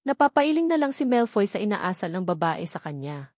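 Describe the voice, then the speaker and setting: A woman's voice: mid-range voice, big pitch swings, a little fast, darkish tone, no echo, studio quality. A woman reading a romance novel as a scripted audiobook narration.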